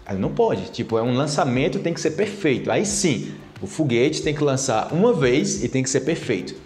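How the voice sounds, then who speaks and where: Animated Brazilian man teaching to camera. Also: mid-range voice, normal speed, roomy sound. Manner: animated, gesturing a lot with his hands; chatty, with many tag questions. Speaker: Portuguese teacher. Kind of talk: casual video lesson to camera. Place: plain-walled room indoors. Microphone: lapel mic.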